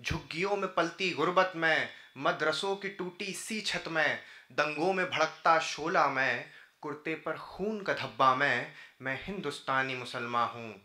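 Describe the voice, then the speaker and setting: Bright, forceful man reciting poetry. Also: on the high side, a little slow. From India. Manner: declamatory, rhythmic repeated phrasing. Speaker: poet. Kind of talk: live Urdu-Hindi poetry recitation. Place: indoor auditorium stage at a podium. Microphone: podium microphone. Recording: roomy auditorium sound through PA microphone.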